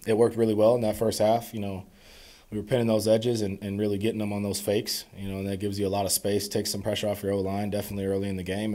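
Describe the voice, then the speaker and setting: A young man in his twenties answering reporters at a postgame press conference, calm and measured with many "you know"s, speaking into podium microphones. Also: lowish voice. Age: 20s. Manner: calm, measured, filler-heavy replies. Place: press conference room before a sponsor backdrop.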